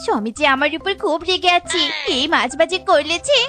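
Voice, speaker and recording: A woman playing a silly, excitable young village wife in a children's cartoon, exclaiming in a childlike, melodramatic way. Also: brisk, exaggerated voice. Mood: gleeful. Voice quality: very high, childlike voice. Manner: exclamatory, giggly, whiny melodrama. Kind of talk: exaggerated children's cartoon acting. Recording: music behind the voice.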